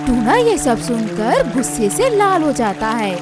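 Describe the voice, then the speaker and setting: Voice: medium pitch, big pitch swings, unhurried, loud music behind the voice. A narrator reading a scripted children's cartoon story.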